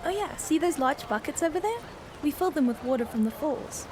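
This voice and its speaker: A woman acts a friendly fisherwoman guide in a radio drama. She has a high-pitched voice and a breezy, reassuring manner, with clearly audible running water and ambient noise around her. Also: lively intonation, fairly quick. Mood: explaining, easygoing. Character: friendly, easygoing fisherwoman and generous local guide.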